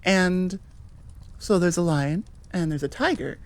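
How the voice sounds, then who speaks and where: An American woman hosts a casual outro with a slightly low voice. She talks at an unhurried, very slow overall pace, rambling and correcting herself as she goes in an informal, conversational way. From Nevada, United States. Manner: informal, self-correcting, chuckling asides. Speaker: audio creator, host.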